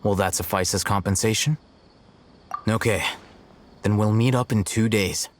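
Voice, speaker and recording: An actor playing a composed, aloof young scholar in a video game, speaking in a measured, matter-of-fact and formal way. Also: male voice, lowish voice, a little hiss or hum, lightly acted. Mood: calm, businesslike. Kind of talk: video game cutscene dialogue.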